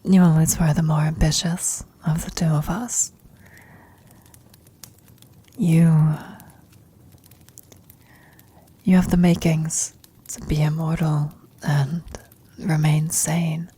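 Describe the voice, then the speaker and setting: Woman as a very slow, whispering frail old woman. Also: low-pitched, little pitch movement, mellow, no room sound, exaggerated voice. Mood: gently firm. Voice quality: breathy, husky whisper. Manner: halting, tender, reflective, long pauses. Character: frail, dying old woman, wistful retired adventurer and former wife. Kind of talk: slow, intimate ASMR roleplay.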